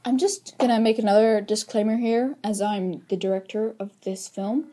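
A woman's voice: on the high side, fairly even intonation, moderate pace, dark tone, natural voice. A woman voicing a bossy, melodramatic film director in an improvised toy comedy skit, theatrical and argumentative. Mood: self-important. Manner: theatrical, self-important, bickering.

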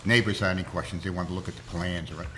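A hoarse man with a cold, chairing a zoning board meeting in plain, folksy words, heard through a table mic in a town hall. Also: low-pitched, steady tone, quick pace, bright, forceful tone, slight background noise. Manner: plain-spoken, folksy, many fillers.

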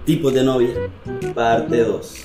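A man's voice: mid-range voice, slow, over music. A young man presenting and acting out a home comedy skit, switching between characters. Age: young adult. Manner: animated, playing several characters. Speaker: subscriber making a skit video. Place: small room at home. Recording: echoey home room.

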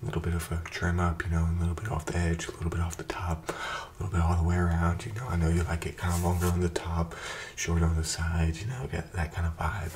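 A young man in his twenties doing an ASMR barber roleplay, talking softly and slipping into whispers, with calm, repetitive, soothing chatter into a close microphone. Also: low voice, quick pace, a little hiss or hum. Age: 20s. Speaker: ASMR creator playing a barber. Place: bright indoor room with plants. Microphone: close desk microphone in front of him.

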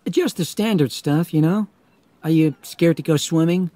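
A man's voice: on the high side, normal speed, mellow, no room sound, pitched above the performer's own voice, natural voice. A man voicing a dutiful, by-the-book policeman radioing in during an audiobook story. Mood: earnest, brisk. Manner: earnest, procedural. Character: dutiful, by-the-book young policeman on walkie-talkie.

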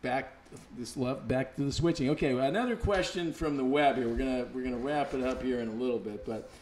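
A man's voice: medium pitch, a little fast, darkish tone, reverberant, slight background noise. A man hosting a live web video interview in an office, joking easily and reading out viewer questions. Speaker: interview host.

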